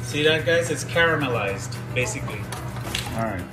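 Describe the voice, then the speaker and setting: A man's voice: a little high, expressive, moderate pace. Man vlogging over music. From Trinidad. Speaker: vlog host. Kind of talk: casual chat to camera, explaining a recipe. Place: home kitchen.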